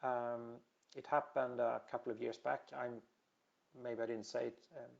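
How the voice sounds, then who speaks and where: A man's voice: medium pitch, level tone, moderate pace, gravelly, dull audio. A man from Sweden with a Swedish accent, giving a technical presentation with many fillers and restarts. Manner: hesitant, many fillers and repeated words. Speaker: industry presenter.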